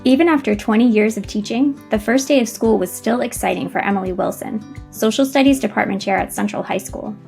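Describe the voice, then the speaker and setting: A woman's voice: medium pitch, gravelly, close to an everyday voice. A woman performing as storyteller, narrating a scripted dramatized school story. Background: guitar music.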